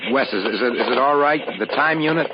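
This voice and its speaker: A man's voice: medium pitch, brisk, natural voice. A man playing a blunt, fretful expedition crewman in a 1950s American radio drama. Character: fretful male expedition crewman stranded in the past. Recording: noisy muffled 1950s radio recording.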